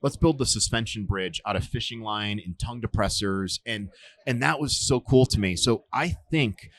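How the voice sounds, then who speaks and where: A man in his forties, a survival instructor, telling stories in a relaxed interview, with lots of fillers and restarts. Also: lowish voice, moderate pace, no echo. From United States. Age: 40s. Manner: rambling, many fillers and restarts. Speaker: podcast guest, survival instructor. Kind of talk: casual storytelling interview.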